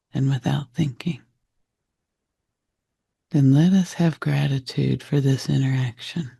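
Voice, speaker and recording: A man guiding a meditation in a gentle, reflective manner, with deliberate short phrases and a soft, husky, gravelly voice. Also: on the high side, no echo, studio quality. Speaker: meditation guide. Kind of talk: guided meditation talk.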